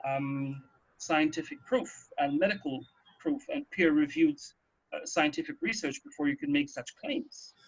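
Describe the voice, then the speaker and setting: A man's voice: a little high, a little slow, breathy edge. A man co-hosting a radio show from home in a casual, chatty, explanatory way, often checking in with a "right" or "yeah". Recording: dull, lo-fi home recording.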